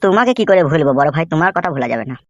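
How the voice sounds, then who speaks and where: Man voicing a cartoon young henchman, high voice, rapid-fire, whiny, deferential. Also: animated, no echo, dull audio, close to an everyday voice. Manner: deferential, complaining. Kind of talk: comedy cartoon voice acting.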